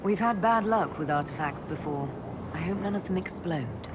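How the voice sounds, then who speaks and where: Composed woman commander played by an actress, game dialogue, slightly low voice, dry and inquiring, clear background ambience. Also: animated, moderate pace, heavy background noise, lightly acted. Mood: dry, businesslike. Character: composed female military leader questioning her companions.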